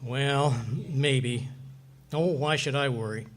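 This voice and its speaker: A man's voice: medium pitch, slow pace, lightly acted. A man playing a stubborn, boastful, bumbling middle-aged husband in 1940s radio comedy style, with folksy, slangy grumbling. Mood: grumbling, doubtful. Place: live performance of a radio play. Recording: hissy, noisy live recording.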